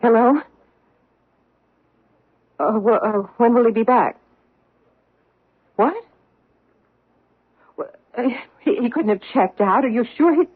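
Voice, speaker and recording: An actress playing a wary middle-aged wife in a radio drama, hesitant yet insistent. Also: female voice, on the high side, lively intonation, slow pace, long pauses, husky, exaggerated voice. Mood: anxious. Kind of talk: 1970s radio drama acting. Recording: tinny old radio broadcast.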